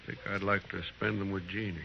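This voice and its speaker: A man's voice: deep voice, level tone, fairly quick, vocal fry. An actor playing a guarded, resigned frontier man in a 1950s radio western. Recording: dull, hissy old radio recording.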